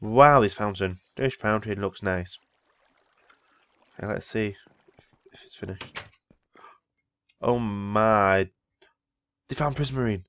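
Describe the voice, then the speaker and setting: A man's voice: fairly low pitch, takes it slowly, pauses often, a bit bright, no echo. A man who is ill, rambling casually through gameplay commentary and easily impressed by what he sees. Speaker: Minecraft let's player.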